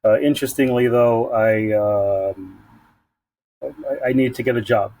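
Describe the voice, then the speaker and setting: A man from San Diego, a guest in an interview, talking about his work in a halting way with many ums and restarts. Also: medium pitch, a little mellow, poor audio. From San Diego, United States. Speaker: community program leader, guest. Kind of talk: conversational interview.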